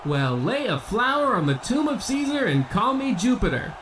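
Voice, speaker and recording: A man's voice: sits high, big pitch swings, moderate pace. A man playing a pompous boxing announcer with grandiose, old-time commentary in a comedy radio play. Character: pompous male boxing announcer obsessed with ancient Rome. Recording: faint background noise.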